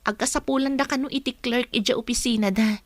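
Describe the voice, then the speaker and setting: Woman acting a worried mother, higher-pitched voice. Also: steady tone, fast talker, no room sound, lightly acted. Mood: aggrieved, pleading. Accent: Ilocano. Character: worried, caring mother and stifled housewife. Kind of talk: Ilocano radio comedy drama acting.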